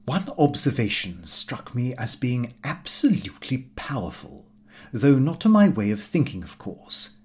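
A man narrates a comic diary in the first person. His mid-range voice moves up and down a great deal, and he tells it in a measured, slightly fussy storyteller's manner. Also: mid-range voice, big pitch swings. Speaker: audiobook reader.

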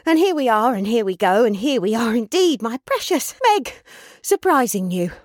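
Woman playing an excitable old father, bustling, pitch leaping. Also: a little slow, no room sound, studio quality. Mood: eager, bustling. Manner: trotting, breathless, repetitive bustling speech. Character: excitable, kindly old father, a poor ticket porter. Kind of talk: storybook character voice.

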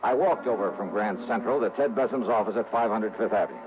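An actor playing a tough, dogged American insurance investigator in a 1960s radio drama, clipped, brusque and slangy. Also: male voice, lowish voice, quick pace, close to an everyday voice. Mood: wry, brisk. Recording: noisy, narrow-band old radio recording.

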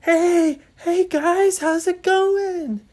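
A woman voicing an excitable, friendly young boy adventure captain in a children's story, exclaiming in casual kid talk. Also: extremely high pitch, voice pushed up, heavily acted. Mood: cheerful greeting. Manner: exclaiming, drawn-out casual greetings.

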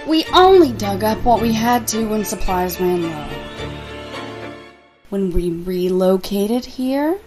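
An actress playing a secretive young woman with a grisly trade, speaking in a calm, controlled, politely menacing way. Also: mid-range voice, slow, over music. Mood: coldly calm. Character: cold, secretive young woman supplying human meat. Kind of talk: horror radio play acting.

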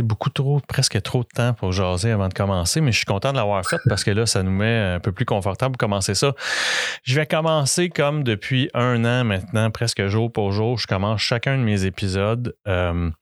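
A Quebec French man hosting a podcast in a loose, casual way, often stalling on fillers. His voice sits very low and comes through smooth and resonant. The sound is dry and close, with no room echo. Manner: hesitant, many fillers. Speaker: podcast host.

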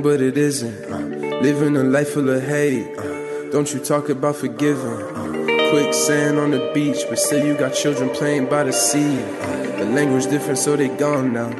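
A man rapping a verse with a rhythmic flow, sliding into half-sung hooks. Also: mid-range voice. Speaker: rapper. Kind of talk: rap verse over a beat. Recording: loud music under the voice.

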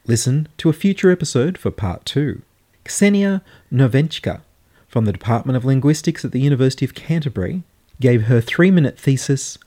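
A man hosting a radio show, presenting guests in a brisk, straightforward way. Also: mid-range voice, mellow. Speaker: radio host.

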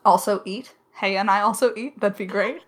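A woman's voice: medium pitch, steady tone, moderate pace, a little hiss or hum. A woman co-hosting a podcast, chatting casually and playfully in an informal Q&A. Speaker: podcast co-host and audio drama writer. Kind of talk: casual Q&A chat.

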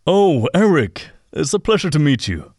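A man acting as an awkward, starstruck technician in an audio drama, halting and flustered. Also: a little high, sing-song, a little mellow, dry recording, clean studio sound.

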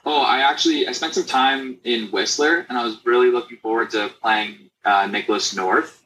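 Young Canadian male guest, laid-back, bright tone, faint room noise. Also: mid-range voice, normal speed, poor audio. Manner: relaxed, lots of "like" fillers. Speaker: guest, company founder. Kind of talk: casual interview chat.

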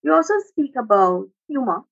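A woman's voice: on the high side, lively intonation, normal speed, vocal fry, husky, highs cut off. A woman with a Trinidadian accent speaks as an academic guest, explaining formally and restating points with emphasis. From Trinidad and Tobago. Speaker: academic guest, music sociologist. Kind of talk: explanatory interview talk.

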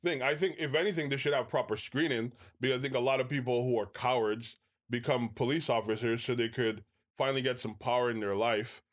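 A man in a casual podcast discussion, speaking in a measured way and steering the talk back to his argument. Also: medium pitch, steady tone.

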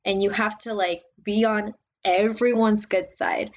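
A young woman speaks in a loud voice, relaxed and chatty. She rambles with lots of "like"s and quick restarts, as a guest in a casual interview about her work on film sets. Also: medium pitch. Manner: animated, filler-heavy, many "like"s. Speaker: assistant director guest.

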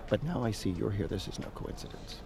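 An actor playing a blunt, suspicious senator in an audio drama, cynical and menacing, with a gravelly voice. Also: male voice, on the low side, animated, brisk, warm tone. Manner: cynical, threatening. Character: blunt, suspicious, foul-mouthed male senator.

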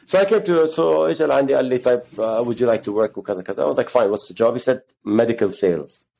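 A man's voice: medium pitch, rapid-fire, gravelly, dark tone. A man in his thirties, from Ghana, telling his story as an interview guest in a rambling way, with Arabic phrases mixed into his English. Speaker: guest, gym co-founder.

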